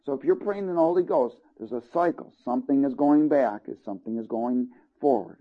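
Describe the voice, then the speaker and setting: A man's voice: medium pitch, a little fast, phone-quality sound. A man preaching a sermon, reading scripture aloud and checking in with listeners as he teaches. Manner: earnest, calls out "Amen?" and "Hallelujah" to listeners.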